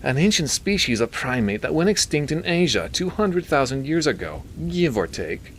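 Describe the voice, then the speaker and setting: A man's voice: a little high, sing-song, normal speed, a little hiss or hum, pitched above the performer's own voice. A man voicing a fussy, disinterested male scientist in a story, with a nasal, affectedly British-leaning voice. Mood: astonished, explaining. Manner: affected, faintly British, weary. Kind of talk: audiobook character dialogue.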